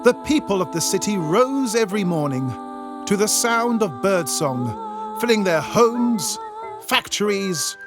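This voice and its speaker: A British man giving theatrical, tongue-in-cheek storybook narration in a pompous, deliberate manner. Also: high-pitched, sing-song, music in the background.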